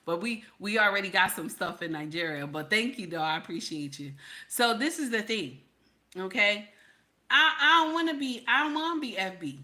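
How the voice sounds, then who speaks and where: A woman's voice: medium pitch, lively intonation, normal speed, bright, forceful tone. A woman hosting a livestream, speaking frankly and conversationally to her viewers, often checking in with "okay?". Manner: emphatic, keeps repeating "okay?" to her audience; reassuring, frank and conversational, with some swearing. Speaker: livestream host. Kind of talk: casual livestream talk to viewers.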